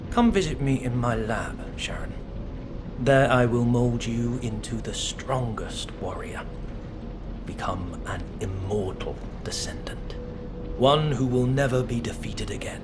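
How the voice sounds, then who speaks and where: An actor playing an arrogant male scientist councillor in a game, speaking in a smooth, condescending way. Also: mid-range voice, a little slow, lightly acted. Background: game music.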